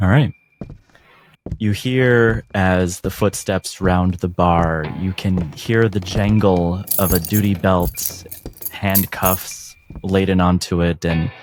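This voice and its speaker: Very low-pitched, slow male game master. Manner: measured, descriptive. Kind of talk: tabletop game narration.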